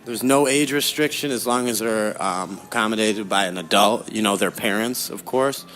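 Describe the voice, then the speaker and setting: A man's voice: fairly low pitch, normal speed, music in the background. A young adult man answering interview questions in a casual, conversational way as a guest. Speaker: interview guest, professional fighter.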